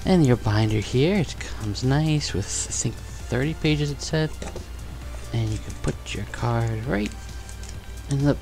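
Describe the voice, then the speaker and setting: A young man chatting casually and excitedly while opening trading cards in a bedroom, on a headset mic. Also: mid-range voice, slow, music in the background. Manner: upbeat, chatty, excited exclamations. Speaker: card collecting vlogger. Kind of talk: casual unboxing chat. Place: bedroom studio, indoors.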